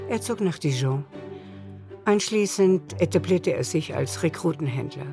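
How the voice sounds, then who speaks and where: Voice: mid-range voice, gravelly. Radio play narrator over music. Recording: music behind the voice.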